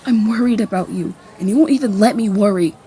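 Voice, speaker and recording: A woman's voice: medium pitch, quick pace, mellow, slight background noise, natural voice. A woman acting a hot-tempered, jealous young fairy in an amateur roleplay drama, speaking in a pointed, confrontational way. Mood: hurt, defiant.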